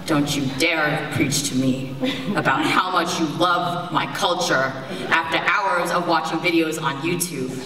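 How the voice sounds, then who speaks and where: Teen girl doing spoken word on stage, fired up and rhythmic, far from the mic, crowd in the room. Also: sits low. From United States. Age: teens. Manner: impassioned, rhythmic delivery with pointed pauses. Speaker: slam poet. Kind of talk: spoken word poetry performance. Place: large performance hall, on stage. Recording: echoey hall from far off, crowd noise. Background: live audience.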